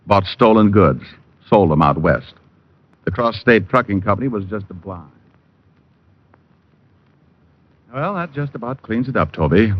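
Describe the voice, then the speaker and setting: An actor playing a cool, wry, hard-boiled private detective in an old radio mystery, narrating and trading wisecracks. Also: male voice, on the low side, dry recording. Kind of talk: 1940s-50s radio detective narration and dialogue. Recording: muffled old radio recording.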